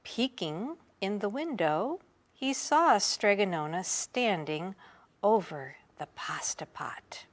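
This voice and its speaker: Lady doing a kids book read aloud, really slow n dramatic, pitch goes up and down a lot. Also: animated. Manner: unhurried, dramatic storytelling with emphasis. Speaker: storybook reader. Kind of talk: expressive read-aloud of a children's picture book.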